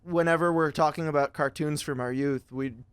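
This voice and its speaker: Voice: mid-range voice, steady tone, a little fast, smooth, dry recording. A podcast host chatting casually in a playful, joking manner. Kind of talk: casual chat.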